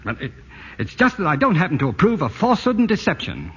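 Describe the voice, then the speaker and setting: A flustered suburban husband in a vintage radio play. His voice is fairly high and lively, and he stammers when cornered. Dull broadcast sound and hiss sit beneath every line. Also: fast talker, breathy edge, long reverb tail, exaggerated voice. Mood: jealous. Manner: flustered and stammering, then sheepish. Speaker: radio actor. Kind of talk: 1940s radio acting. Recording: hissy old radio broadcast.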